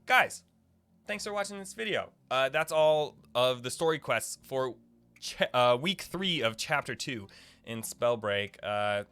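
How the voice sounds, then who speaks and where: A man's voice: medium pitch, animated, a little slow, sharp tone, clear, resonant tone. A man hosting a gaming video, giving casual, informal lore commentary in a rambling, speculative way. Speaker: gaming video creator and host.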